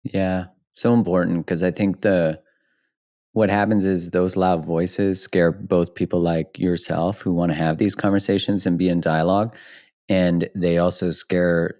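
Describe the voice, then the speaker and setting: A man's voice: low voice, flat delivery, unhurried, darkish tone, no echo, studio quality. A man hosting a podcast, answering his guest with affirming remarks in casual interview talk. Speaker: podcast host. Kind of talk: interview chat.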